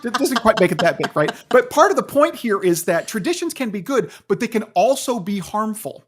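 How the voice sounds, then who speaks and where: Male podcast guest, academic explainer: conversational, animated storyteller who punctuates points with check-ins. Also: high-pitched, brisk. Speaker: guest, philosophy professor and author.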